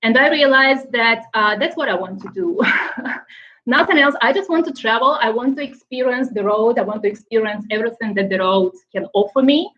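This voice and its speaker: A woman from Ukraine with a Ukrainian accent, chatting casually on a live stream, often pausing with fillers and restarting her sentences. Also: a little high, a bit bright, highs cut off. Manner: halting, many fillers and restarts, laughs often. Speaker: motorcycle traveler hosting a live stream.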